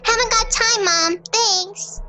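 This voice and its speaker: Woman playing a very high-pitched, stubborn little girl. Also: slow, over music. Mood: breezy, evasive. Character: stubborn, secretive young girl absorbed in an invasion game. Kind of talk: amateur audio drama acting.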